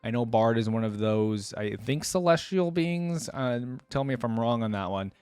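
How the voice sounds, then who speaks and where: A man's voice: mid-range voice, expressive, moderate pace, darkish tone, smooth, no room sound. Male reaction host, mid-range voice, dry recording, casual and unscripted, conversational rambling commentary with asides.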